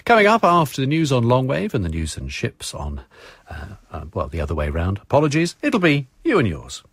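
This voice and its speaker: A man's voice: mid-range voice, wildly swooping pitch, a little fast, a bit bright, slightly rough, dry recording. A man making continuity announcements as a radio announcer.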